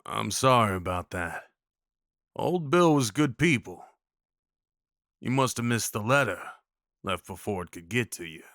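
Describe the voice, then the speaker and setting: A man's voice: lowish voice, expressive, measured pace, long pauses, fairly bright tone, no room sound. Man playing a folksy Old West cowboy, close and dry. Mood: solemn, sympathetic. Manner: folksy, reassuring, informal. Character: kindly rough-spoken Old West cowboy guide, protective and awkward. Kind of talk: intimate audio roleplay acting.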